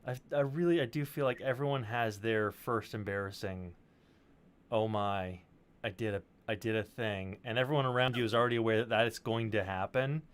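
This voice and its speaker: A man's voice: lowish voice, fairly quick, clean tone. A man co-hosting a stream with casual, wry banter. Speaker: stream co-host.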